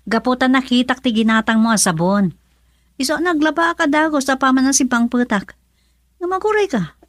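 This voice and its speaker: A wife worn down by poverty who scolds her husband. Her voice sits high and sounds sharp with exasperation. It is recorded close and dry, radio-play style. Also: expressive, moderate pace, dry recording. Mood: exasperated. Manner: scolding, nagging. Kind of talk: radio drama acting.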